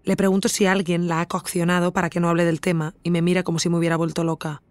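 An actress playing an introspective podcast investigator with an accent from Spain, narrating in a measured, reflective first person for an audio drama. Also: female voice, lowish voice, level tone, no room sound, close to an everyday voice. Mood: concerned, matter-of-fact.

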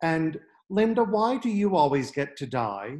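Man, 30s, slightly high voice, considered hesitant critique, home video-call sound. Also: sing-song, unhurried, very noisy. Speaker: audience member giving feedback. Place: room at home by a window.

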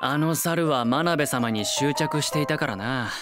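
A man's voice: mid-range voice, measured pace, over music, lightly acted. A man playing a self-assured, blunt young schemer in a cartoon, speaking with clipped confidence. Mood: scheming, confident.

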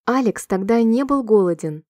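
A woman reading short sentences slowly and clearly for a language-listening drill, repeating each one in a measured, even way. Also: a little high, no echo, clean studio sound. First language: Russian. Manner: clear, measured, evenly paced repetition. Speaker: Russian sentence reader.

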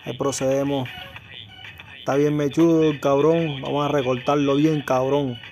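Hyped barber with music behind. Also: male voice, medium pitch, moderate pace. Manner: excited, slangy, repeats words for hype. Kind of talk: casual haircut vlog narration. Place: small indoor barbershop.

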